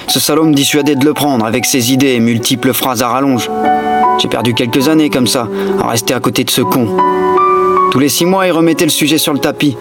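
Man reading a monologue, gruff and blunt, loud guitar music behind. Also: mid-range voice. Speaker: reader of a short text. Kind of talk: dramatic reading over music.

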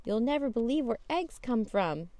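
A woman's voice: fairly high pitch, animated, moderate pace, warm tone, no echo, voice pushed up, lightly acted. Woman voicing an eager, chirpy young animal-loving girl. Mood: excited.

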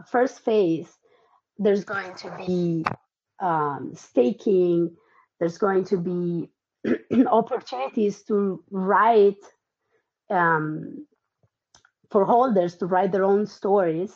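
A woman who grew up in Italy, a podcast guest chatting casually and hesitantly with lots of ums and restarts. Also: on the low side. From Argentina and Italy. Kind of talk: casual interview chat. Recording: dull lo-fi audio.

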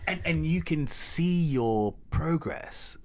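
A man hosting a casual podcast chat, his voice rising and falling widely as he talks. He is animated and full of slang, exclaiming as he goes. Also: a little high, takes it slowly. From Los Angeles area.

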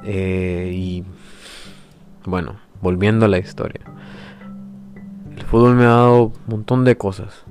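Guy in his 20s, really low voice, slow thoughtful podcast intro, soft guitar behind. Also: little pitch movement. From El Salvador. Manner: reflective, hesitant, with pauses and restarts. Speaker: podcast host. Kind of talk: personal introduction monologue. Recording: faint guitar music behind.

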